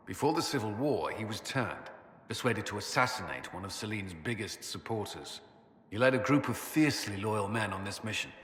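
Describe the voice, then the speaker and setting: Guy acting as grave armored commander in a fantasy game, bright forceful voice, lots of reverb. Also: fairly low pitch, close to an everyday voice. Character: armoured military commander, stern, disappointed, earnest man. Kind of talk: dramatic video game acting. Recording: echoey stone hall reverb, noisy background.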